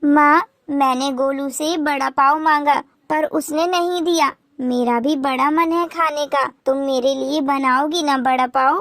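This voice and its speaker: A woman's voice: high voice, fairly even intonation, unhurried, hardly any pauses, a bit bright, dry recording, clean studio sound. A woman voicing a pleading little girl bird in a moral-story cartoon, whining and insistent. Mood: begging.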